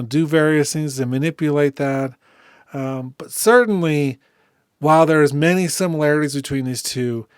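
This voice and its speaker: A man giving a casual software demonstration, speaking informally and thinking aloud as he goes. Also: on the high side, clear, resonant tone, no echo, studio quality. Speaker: music software tutorial host.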